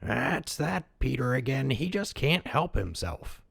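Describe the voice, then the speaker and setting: A man's voice: mid-range voice, very animated, unhurried, gravelly, fairly bright tone, breathy edge, no echo, voice pushed up, heavily acted. A man voicing an exasperated old village man, grumbling wearily in a storybook reading. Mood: weary disapproval.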